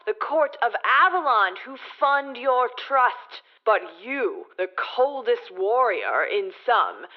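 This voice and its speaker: An actress playing a stern, imperious judge of a mythic fairy court, formal and declamatory, in an audio drama. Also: female voice, high voice, full character voice. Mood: grand, accusing. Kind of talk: audio drama acting in verse. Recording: dull, lo-fi radio drama sound.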